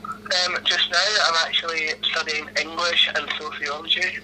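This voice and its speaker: A man's voice: a little high, level tone, moderate pace, background noise. A man in his twenties with a Glaswegian accent, answering interview questions over a phone call in a hesitant, laughing way, full of ums and restarts. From Scotland. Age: 20s.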